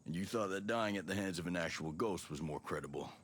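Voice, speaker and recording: An actor playing a gruff, confident middle-aged special forces soldier in a video game. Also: male voice, low-pitched, fast, slight background noise, close to an everyday voice. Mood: wry, probing. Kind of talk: video game cutscene acting.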